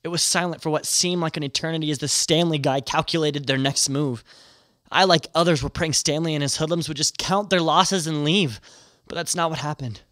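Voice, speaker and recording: A man's voice: fairly high pitch, a bit bright, lightly acted. A man playing a grieving, shaken robbery survivor giving emotional testimony in an audio drama. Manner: halting, emotional testimony turning to storytelling. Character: shaken, grieving male bank robbery witness, prickly, raw. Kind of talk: audio drama acting, first-person narration.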